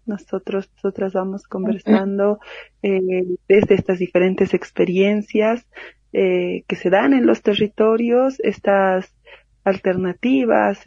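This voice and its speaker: A woman's voice: medium pitch, warm tone, no echo, muffled recording. A woman hosting an interview, searching for words with many fillers and restarts. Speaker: host.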